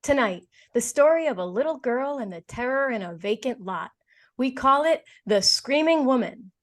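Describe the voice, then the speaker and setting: A woman's voice: high-pitched, lively intonation, normal speed. A woman reading a scripted announcement in the formal, dramatic style of old-time radio.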